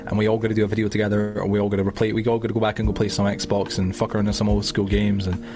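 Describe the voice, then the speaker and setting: Fast-talking Scottish man, rambling. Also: on the low side, monotone. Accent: Scottish, Edinburgh. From Scotland. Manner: rambling, many restarts and fillers. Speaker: podcast host. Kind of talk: casual podcast chat.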